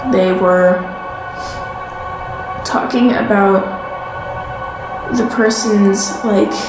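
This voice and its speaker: A woman playing an uneasy young woman in a naturalistic fiction podcast, speaking haltingly and trailing off. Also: medium pitch, steady tone. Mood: ominous, halting. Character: hesitant, uneasy young woman living in a strange house. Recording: roomy echo, music underneath. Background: music.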